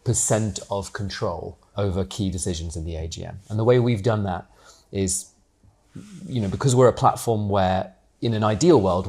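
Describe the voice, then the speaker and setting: A man in his twenties who grew up in Hong Kong, speaking as an interview guest in a candid, animated, conversational way with many casual fillers. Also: fairly low pitch. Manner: candid and animated, frequent fillers like "like" and "you know", mild swearing. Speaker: guest, co-op founder.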